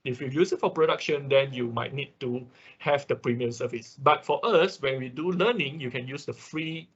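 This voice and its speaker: A man's voice: medium pitch, moderate pace, breathy edge, highs cut off. A man teaching an informal online technical class as a non-native English speaker, explaining step by step, repeating himself and checking in with listeners. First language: not English. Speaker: instructor of an online robotics class.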